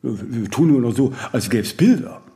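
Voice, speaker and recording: An actor playing a vain, ageing celebrity in a radio play, imperious, aloof and rambling in aphorisms with little tag questions. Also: male voice, mid-range voice, expressive, normal speed, dark tone, natural voice. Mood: musing, pontificating. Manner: haughty, rambling, aphoristic, with clipped tag questions and self-corrections. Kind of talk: radio play monologue in dialogue.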